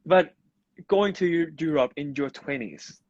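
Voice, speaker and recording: A man's voice: on the high side, a little slow, no echo, dull audio. A man in his twenties from Thailand, a native Thai speaker, chatting as a guest on a casual live-stream interview, full of restarts and "you know". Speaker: guest, actor.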